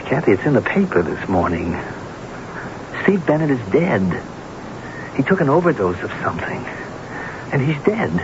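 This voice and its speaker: An actor playing an anxious, guilt-ridden unfaithful husband in a radio mystery drama, stammering and repeating words earnestly. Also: male voice, medium pitch, very animated, moderate pace, long pauses. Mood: distraught. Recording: noisy, muffled old radio broadcast recording.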